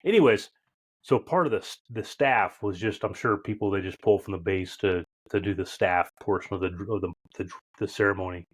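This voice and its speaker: Low-voiced male podcast host in his fifties, reflective. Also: a little fast, husky. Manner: reflective, searching for words. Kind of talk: casual interview conversation.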